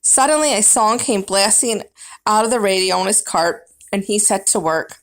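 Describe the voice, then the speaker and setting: A woman's voice: medium pitch, normal speed, fairly bright tone. A woman reading a children's book aloud as first-person narrator, with dramatic, emphatic storybook phrasing.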